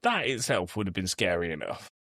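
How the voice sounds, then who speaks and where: A man's voice: low voice, big pitch swings, fairly quick, fairly bright tone, no room sound, clean studio sound. A man hosting a podcast in casual, emphatic, opinionated conversation. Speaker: podcast host. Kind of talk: casual chat reviewing a film.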